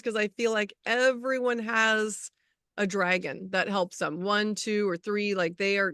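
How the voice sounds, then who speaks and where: A middle-aged woman co-hosting a casual spiritual chat as an energy healer, talking in a rambling, halting way as she searches for words. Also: medium pitch, a bit bright, smooth, no echo, clean studio sound.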